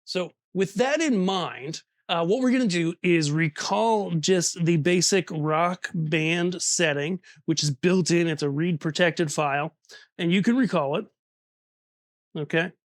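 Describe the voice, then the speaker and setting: A man's voice: on the high side, a little slow, dry recording, clean studio sound. A man giving a casual, hands-on tutorial, chatty and informal, talking himself through each step as he goes. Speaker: presenter of audio gear tutorial. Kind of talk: casual hands-on instructional demo.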